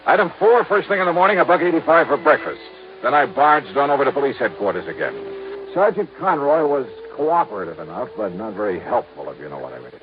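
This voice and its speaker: An actor playing a hard-nosed insurance investigator who narrates and questions in a brisk, wry, pushy manner, 1950s radio drama. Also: male voice, medium pitch, very animated, close to an everyday voice. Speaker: narrator and lead. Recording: dull old radio, faint hiss.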